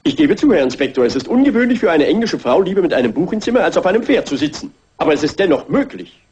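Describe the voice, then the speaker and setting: A man playing a pompous, excitable horse-loving gentleman hotel keeper in a German radio crime drama, with a husky voice. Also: a little high, fast talker, dull audio. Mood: indignant.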